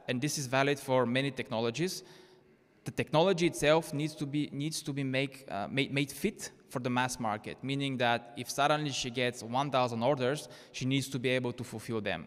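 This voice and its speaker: A man's voice: mid-range voice, brisk. Man keynoting in an echoey hall. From Bulgaria. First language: Bulgarian. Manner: earnest, persuasive, with repeated restarts. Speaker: keynote speaker. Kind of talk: conference presentation. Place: conference hall. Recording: reverberant conference hall.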